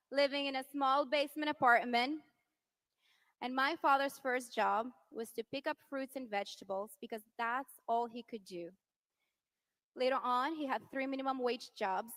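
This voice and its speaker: A woman in her thirties from Venezuela, giving an impassioned political rally speech that rises to rousing shouts. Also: high-pitched. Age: 30s. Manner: impassioned, rising to rousing shouts on key lines. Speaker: politician's wife speaking at a rally.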